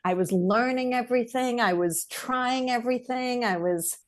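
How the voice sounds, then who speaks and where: A woman's voice: a little high, moderate pace. Chatty rambling woman from South Africa. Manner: chatty, rambling, many fillers and restarts. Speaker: guest filmmaker. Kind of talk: casual interview chat.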